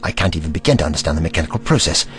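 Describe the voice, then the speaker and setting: A man playing an eccentric, brainy time traveller in an audio drama, kindly but testy, musing aloud in a wordy, gently pedantic manner. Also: rumbling low, lively intonation, very fast, faint music behind. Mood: puzzled, determined.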